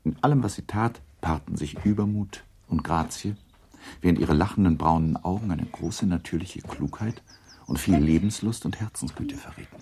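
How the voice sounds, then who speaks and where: A man narrating a literary radio drama in a measured, deliberate storytelling manner, with a gravelly, husky voice. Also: low-pitched, a little mellow, a little hiss or hum. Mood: measured, descriptive. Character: measured narrator of a classic novel.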